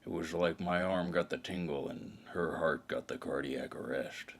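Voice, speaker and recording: An actor playing a weary, hard-boiled noir detective in an audio drama, narrating with deadpan wryness and guarded feeling. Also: male voice, low voice, moderate pace, slightly rough.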